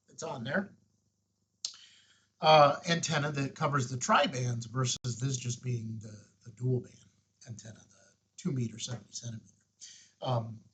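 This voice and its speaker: A man with a mid-pitched, slightly husky voice talks through a hands-on tutorial. He is plain-spoken and folksy, chatting casually as the host of a hobby channel. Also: a bit bright.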